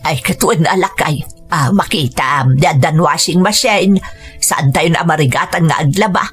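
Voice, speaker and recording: A woman's voice: deep voice, moderate pace, lightly acted. An actress playing a demanding, irritable older mother and wife in a radio drama, over background music. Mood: insistent.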